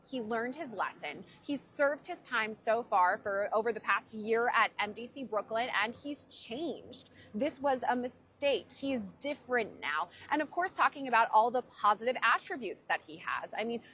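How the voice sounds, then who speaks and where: A woman court reporter explaining a case in measured legal news commentary. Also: a little high, animated, fairly bright tone, slight background noise.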